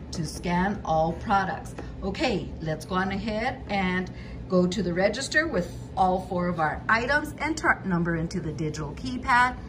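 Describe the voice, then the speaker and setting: A woman's voice: on the low side, background noise. A woman hosting a couponing channel, walking through store deals in a methodical voice-over, price by price.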